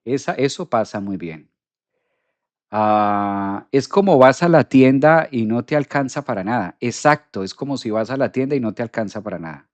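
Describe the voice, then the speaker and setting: Animated man hosting an astrology stream. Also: mid-range voice, moderate pace, no echo. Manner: animated, repeats phrases, addresses audience directly. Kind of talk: live stream talk reading viewer comments.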